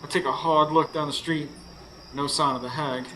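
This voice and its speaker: An actor playing an anxious husband who narrates a supernatural horror radio play with intense, dramatic delivery, recorded live on stage. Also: male voice, a little high, steady tone, normal speed, bright, forceful tone, slight background noise, low-quality recording. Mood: somber, uneasy. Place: stage before a live studio audience.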